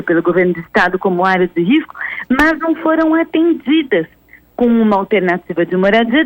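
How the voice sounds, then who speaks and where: A woman's voice: mid-range voice, no echo. A woman with a Brazilian accent giving emphatic, argumentative radio commentary as a columnist, stressing key words over a muffled line. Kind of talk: spoken explanatory commentary on radio. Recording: dull, dry phone-like radio line.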